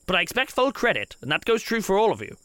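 A man's voice: high voice, huge pitch swings, quick pace, pushed and bright, clean tone, no echo. A man improvising in character as a player in a tabletop role-playing show, wordy and wry, often repeating himself as he reasons.

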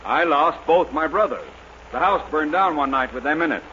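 An actor playing an irritable, impatient cab passenger in a 1940s radio situation comedy, with an exasperated manner. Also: male voice, a little high, normal speed, sharp tone. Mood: one-upping, doleful. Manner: exasperated, one-upping. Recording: noisy dull 1940s radio recording.

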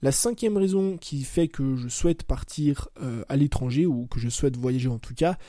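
A young man talks through his podcast in a loose, informal ramble, often correcting himself mid-sentence. His voice is very dark and mellow. The recording is dry and close, with no room sound. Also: medium pitch, moderate pace, no room sound. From France. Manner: chatty, loose and informal, says "tu vois" and "bah" constantly, self-corrects. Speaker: podcast host. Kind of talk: casual solo podcast monologue. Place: at home.

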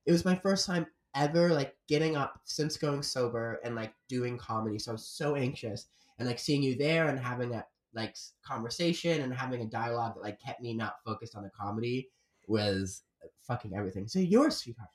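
A young man from Florida chats as a guest comedian, rambling, cursing and leaning heavily on fillers. His voice sits at a middle pitch. A roomy echo hangs around every word. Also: lively intonation, brisk. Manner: rambling, swearing, lots of "like" fillers. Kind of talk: casual comedic chat.

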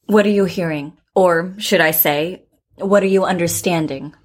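A woman playing a composed psychotherapist in an audio drama, speaking in a measured, careful, questioning way. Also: on the low side, lightly acted. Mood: probing, composed. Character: measured, probing female psychotherapist, professional and composed. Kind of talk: naturalistic audio drama acting.

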